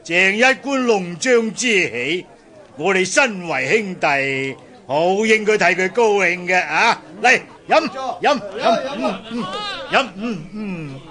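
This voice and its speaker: An ambitious eldest sworn brother in a historical radio drama. He declaims slowly and heartily in a high, forceful voice with big swings of pitch. His accent is Hong Kong Cantonese. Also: lively intonation, exaggerated voice. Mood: hearty, festive.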